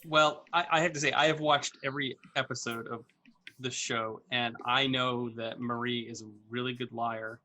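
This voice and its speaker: A man's voice: medium pitch, fairly even intonation, moderate pace, bright, forceful tone. A man talking casually as a panelist on a game. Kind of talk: casual chat.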